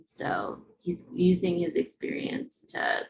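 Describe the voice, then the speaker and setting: A woman informally presenting her photography project at an online webinar, talking in a casual, halting way. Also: on the low side, expressive, a little hiss or hum, rough recording.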